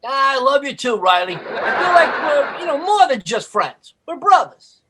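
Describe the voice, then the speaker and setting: An actor playing a working-class next-door pal in an old-time radio comedy, dry-witted and mock-noble, with rough grammar. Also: male voice, very high voice, very animated, a little slow, heavy background noise, full character voice. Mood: mock-noble, sentimental. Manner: wisecracking, ungrammatical, mock-noble.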